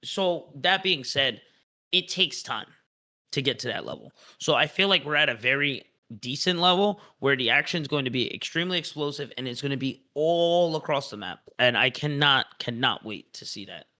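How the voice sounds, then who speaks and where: A man hosts an esports live stream in an easy, chatty way. His voice is bright and forceful, and he keeps the energy up by repeating words for emphasis. He sits at an ordinary, middle pitch. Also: animated, normal speed. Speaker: esports league host.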